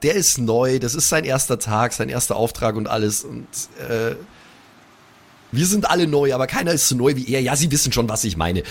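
A man's voice: mid-range voice, animated, a little fast. Slangy man improvising in a tabletop game. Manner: slangy, with English loanwords. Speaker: player in an improvised pen-and-paper audio play. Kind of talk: casual, improvised in-game role-play chat.

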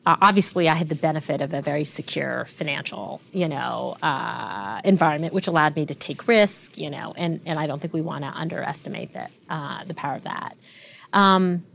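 A woman in her forties, a tech executive being interviewed, telling stories fluently and with lively restarts. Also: sits low, fairly quick, vocal fry, slight background noise. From Canada. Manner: fluent, animated storytelling with frequent restarts. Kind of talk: interview conversation.

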